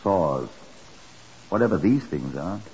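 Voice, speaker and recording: An actor playing a calm, methodical chief inspector in a 1950s radio drama, terse and measured. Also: male voice, sits low, heavily acted. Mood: dry, probing. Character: calm, methodical police chief inspector, world-weary and dry. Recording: dull, hissy 1950s radio recording.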